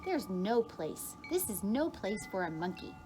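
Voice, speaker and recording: A woman's voice: medium pitch, sing-song, a little slow, noisy background. A woman voicing a harried, bossy male pancake cook in a children's story, with an urgent, emphatic delivery. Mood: indignant.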